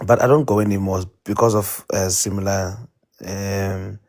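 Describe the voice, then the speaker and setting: A man with a fairly low voice tells a story casually. He rambles, hesitates and restarts his sentences often. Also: flat delivery, moderate pace, darkish tone. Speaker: debate participant.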